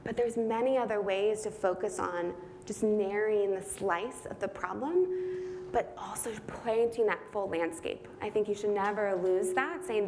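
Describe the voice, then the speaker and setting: A woman's voice: medium pitch, darkish tone, a little hiss or hum. A young woman answering audience questions at a talk, thinking aloud and often tagging her points with "right?". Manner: earnest, thinking aloud, frequent "right?" tags. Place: conference room.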